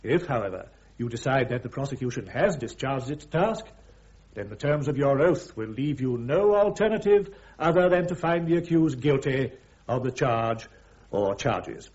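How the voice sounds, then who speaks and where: Through slightly muffled radio drama audio comes an actor as a British army prosecutor. His male voice moves widely in pitch as he sets out a case with formal, measured precision. Every phrase is deliberate legal oratory. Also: expressive, darkish tone, natural voice. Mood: solemn, emphatic. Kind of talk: radio drama courtroom speech. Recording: dull, band-limited radio drama recording.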